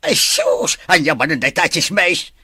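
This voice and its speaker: A man playing a grown son in an Ilocano radio drama, exclamatory and easily astonished, with drawn-out delivery. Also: on the high side, expressive, pushed and bright, slightly rough, no echo, studio quality, exaggerated voice. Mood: shocked.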